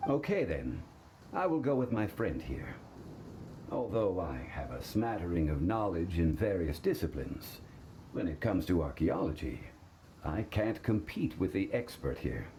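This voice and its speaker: A man voicing a composed, ancient male scholar in a video game, speaking in a measured, formal, explanatory way. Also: deep voice, sing-song, mellow, a little hiss or hum, heavily acted. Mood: calm.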